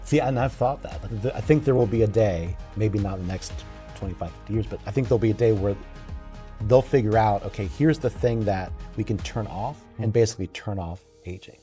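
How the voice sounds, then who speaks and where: A man's voice: fairly low pitch, a little fast, warm tone, faint music behind. A man in his late forties chatting casually and reflectively, thinking aloud in an informal way. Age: late 40s. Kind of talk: casual chat.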